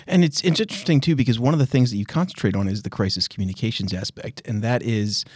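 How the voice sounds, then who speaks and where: A man hosting a podcast, asking long questions in a measured, deliberate way. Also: medium pitch, a little mellow, husky, no room sound, studio quality. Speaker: podcast host. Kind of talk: interview questions.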